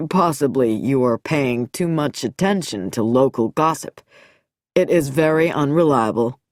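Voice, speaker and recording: A woman voicing a smooth, coolly amused middle-aged male doctor who speaks in a measured, quietly mocking way. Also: very deep voice, no room sound, studio quality, voice pushed down.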